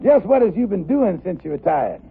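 Raspy, high-voiced comic radio host. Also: male voice, big pitch swings, brisk, full character voice. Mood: genial, curious. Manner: broad dialect comedy delivery. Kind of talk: 1950s radio comedy acting. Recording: tinny old radio recording.

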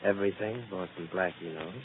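An actor playing a cocky, shady hard-boiled private detective, wisecracking and tough, in a 1940s radio drama. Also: male voice, deep voice, fairly even intonation, brisk, close to an everyday voice. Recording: muffled, hissy old radio recording.

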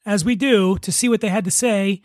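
A man's voice: sits high, fairly quick, no echo, studio quality. A man hosting a podcast in casual chat. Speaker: podcast host.

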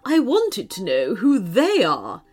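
Woman playing a queen bee, imperious, stern, regal, slow drawn-out delivery, swooping pitch, storybook voice. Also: sing-song, fairly bright tone, faint music behind, exaggerated voice. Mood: stern, scolding. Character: stern, regal female queen bee, scolding but softening. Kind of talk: children's storybook character voice.

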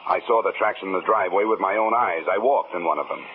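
A man's voice: deep voice, fast, lightly acted. An American man playing a tough, sardonic, wisecracking insurance investigator in a 1950s radio drama. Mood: insistent. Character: hard-boiled, wisecracking male insurance investigator. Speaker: lead actor and narrator in a radio detective drama. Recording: muffled, noisy 1950s radio recording.

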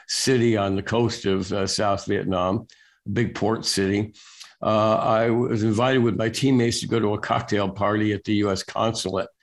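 An older man being interviewed as a guest, telling stories in a relaxed, wry way with lots of filler words. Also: lowish voice. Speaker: guest, national security reporter. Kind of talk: casual storytelling interview.

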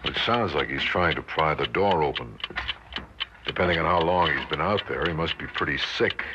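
An actor playing an earnest, weary, protective father in 1960s radio drama, pleading and reasoning in halting phrases. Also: rumbling low. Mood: grim, resigned. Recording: noisy, muffled old radio recording.

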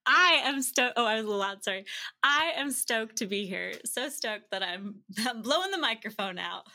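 A woman telling casual anecdotes as a podcast guest, bubbly and expressive. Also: mid-range voice, normal speed. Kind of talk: casual anecdotal interview chat.

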